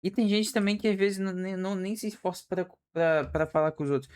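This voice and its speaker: A man's voice: high voice, quick pace, a little mellow, clean tone. A young man streaming a reaction, talking casually with slang and frequent restarts. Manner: hesitant, restarts often, slangy.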